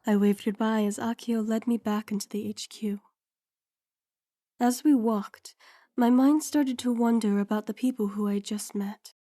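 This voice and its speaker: A woman's voice: a little high, steady tone, unhurried, dark tone, natural voice. A woman playing a curious young recruit who narrates her own story in an audio drama. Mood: reflective. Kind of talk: first-person scripted narration, audio drama.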